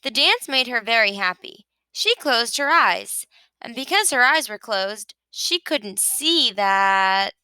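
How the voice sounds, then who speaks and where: A woman reading a children's picture book aloud with expressive narration. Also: a little high, slow pace, clean tone, no room sound, poor audio. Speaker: storybook reader.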